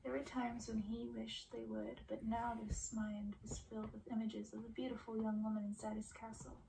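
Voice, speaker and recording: A soft-spoken woman doing an ASMR read-aloud, often slipping into breathy whispers. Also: a little high, little pitch movement, normal speed, noisy background. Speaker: ASMR performer reading a book aloud.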